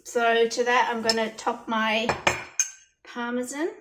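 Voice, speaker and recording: A hoarse woman chatting through a cooking demonstration in her home kitchen, explaining each step plainly. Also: a little high, little pitch movement, slow, noisy background. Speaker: home cooking channel host. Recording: roomy kitchen audio from a distance.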